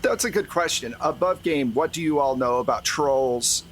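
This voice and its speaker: A man's voice: mid-range voice, normal speed, fairly bright tone, faint music behind, low-quality recording. A man running a tabletop roleplaying game as game master, narrating and explaining in a conversational way.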